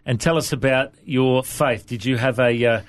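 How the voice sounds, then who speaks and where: A man hosting a television interview in a studio, upbeat and friendly and quick to laugh. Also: mid-range voice. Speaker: TV host.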